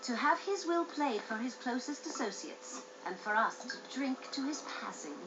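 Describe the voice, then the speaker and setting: A woman's voice: on the high side, lively intonation, normal speed, heavy background noise, close to an everyday voice. A woman playing a cool, poised young crime heiress in a video game, speaking formally and with composure. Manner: formal, composed, smoothly aloof. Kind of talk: video game cutscene acting. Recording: dull game audio under music.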